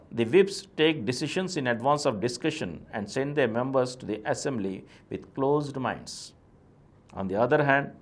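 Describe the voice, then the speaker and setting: A man with an Indian accent reads an essay aloud as a presenter. His voice sits at a middling pitch. He phrases each statement formally and deliberately, leaning firmly on key words. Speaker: reader and presenter. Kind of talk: reading a political essay aloud.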